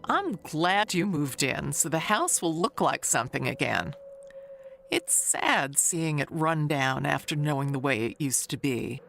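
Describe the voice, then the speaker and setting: A woman's voice: sits low, sing-song, unhurried, vocal fry, a bit bright, soft background music, full character voice. A woman playing a chatty, gossipy neighbor in an audio drama, reminiscing in a confiding, rambling way. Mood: confiding, wistful. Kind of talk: audio drama monologue, conversational storytelling.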